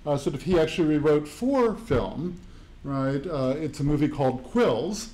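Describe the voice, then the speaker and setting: A man moderating a panel discussion, talking haltingly and leaning on fillers as he tells an anecdote. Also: fairly high pitch, animated, a little mellow, poor audio. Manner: halting, full of "sort of" fillers. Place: campus event hall.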